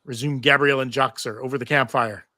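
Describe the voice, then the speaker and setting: A man's voice: mid-range voice, fairly even intonation, fast talker, bright, forceful tone, no echo, clean studio sound. A man reading stage directions as narrator in a live table read.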